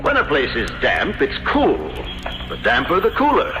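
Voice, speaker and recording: An actor playing a vengeful, gloating gentleman murderer in a theatrical 1970s radio drama style. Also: a little high, wildly swooping pitch, normal speed, music in the background. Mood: smooth, sly. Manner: theatrical, savoring, menacing delivery. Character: vengeful, gloating wine-owning gentleman, sinisterly courteous murderer. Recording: dull, noisy old radio broadcast.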